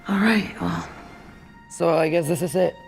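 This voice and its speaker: A woman's voice: fairly low pitch, unhurried, vocal fry. A woman playing a playful, fond agent at a script read. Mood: fond, reluctant. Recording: music under the voice.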